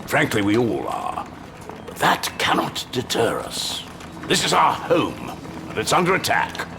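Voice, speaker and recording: An actor playing a pompous, unflappable older British intelligence chief with a gravelly voice and droll understatement, in an audio drama. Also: male voice, mid-range voice, wildly swooping pitch, a little slow, background noise, full character voice. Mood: stern, rousing.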